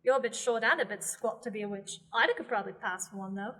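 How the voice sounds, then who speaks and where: A woman's voice: mid-range voice, fast, fairly bright tone, natural voice. A young woman in a student radio drama, playing a witch who is bluntly honest and condescending with friends.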